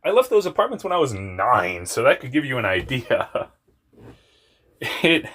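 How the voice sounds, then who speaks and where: A young man with a very deep voice telling a personal story in a rambling, candid way, often laughing at himself and swearing. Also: sing-song, moderate pace, fairly bright tone. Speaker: vlogger.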